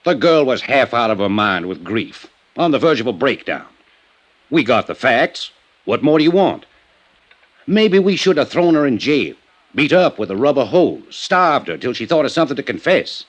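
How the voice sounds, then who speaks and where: An actor playing a defensive, indignant small-town American county attorney in Golden Age radio drama style, testy and guarded. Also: male voice, medium pitch, very animated, moderate pace. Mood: heated, defensive.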